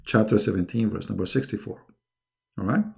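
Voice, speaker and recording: A man's voice: lowish voice, brisk, creaky, a little mellow. A middle-aged man who speaks English as a second language hosts a live online debate in a mocking, emphatic, sarcastic way. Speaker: debate host.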